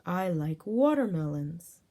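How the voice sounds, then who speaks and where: A woman voicing an enthusiastic big bird in a children's storybook, with emphatic, drawn-out words. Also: on the low side, very animated, mellow, heavily acted. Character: big bird who loves big things, enthusiastic.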